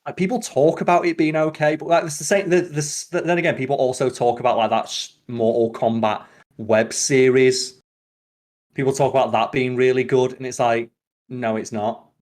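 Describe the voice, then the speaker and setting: A man's voice: medium pitch, level tone, normal speed, a little mellow. A man hosting a casual podcast chat, opinionated and sweary. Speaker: podcast host.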